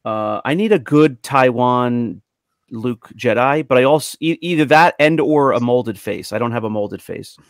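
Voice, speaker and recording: A man's voice: medium pitch, normal speed, dry recording. Man hosting a casual podcast, dry sound. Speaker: podcast host. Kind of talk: casual group podcast chat.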